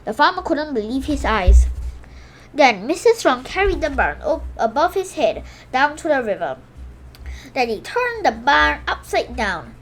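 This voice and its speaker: A woman reads a children's picture book in a high voice. Her delivery swings up and down with lots of expression, punching out sound words and now and then correcting a slip. There is faint noise behind her. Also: measured pace, rough recording. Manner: animated, exclaims sound words, stumbles and corrects herself. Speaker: storybook reader for a children's video channel.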